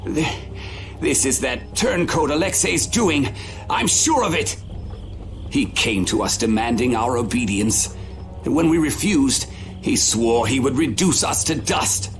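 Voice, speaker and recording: A man's voice: medium pitch, expressive, measured pace. Pompous, theatrical nobleman. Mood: aggrieved, dramatic. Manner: theatrical, florid. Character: pompous, flowery, aggrieved male noble. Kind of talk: English video game dub. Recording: prominent music under the voice.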